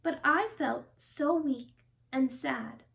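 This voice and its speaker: A woman's voice: high-pitched, slow pace, creaky, a bit bright, exaggerated voice. A woman voicing a sensitive, insecure young giraffe schoolboy from a storybook, speaking earnestly. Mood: earnest, hurt. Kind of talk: children's storybook character reading.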